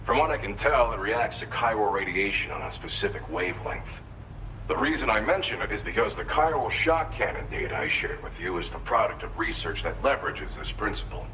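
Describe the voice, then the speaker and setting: An actor playing an affable, grateful researcher from an isolated shelter in a video game, talking informally and explaining things. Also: male voice, on the low side, pushed and bright, a little hiss or hum, poor audio. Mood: explanatory.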